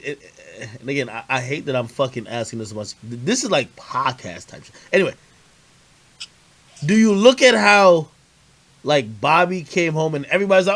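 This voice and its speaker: A man's voice: very high voice, a bit bright. A man hosting a casual, heated livestream interview, talking in profane street slang and insistently repeating his points. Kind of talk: casual heated argument on a stream.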